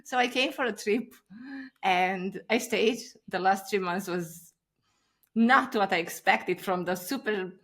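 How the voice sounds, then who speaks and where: A woman in her thirties, raised in Romania, speaking English as her at a middle pitch. She tells her story in an animated, chatty way and acts out the people she quotes. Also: unhurried. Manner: animated, acts out quoted voices, frequent laughs. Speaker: podcast guest. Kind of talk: casual interview storytelling.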